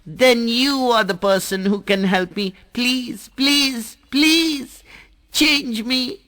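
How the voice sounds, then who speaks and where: A man's voice: sits high, a little slow, a bit bright, voice pushed up. A man voicing a restless, bored little boy who is a drop of water, talking in a whiny, pleading way.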